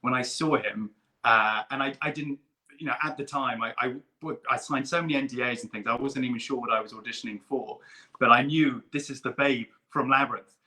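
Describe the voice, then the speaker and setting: Chatty guy on a video call, bright forceful voice, keeps tripping over his words. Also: mid-range voice, steady tone, brisk, poor audio. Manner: eager, rambling, fan-like storytelling with restarts. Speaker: guest puppeteer and performer. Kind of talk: casual chat.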